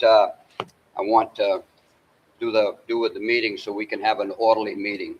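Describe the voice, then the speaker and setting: An older man moderating a town meeting, folksy and halting, often repeating words as he lays out the rules. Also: lowish voice. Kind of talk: addressing a public meeting. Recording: distant mic, faint room noise.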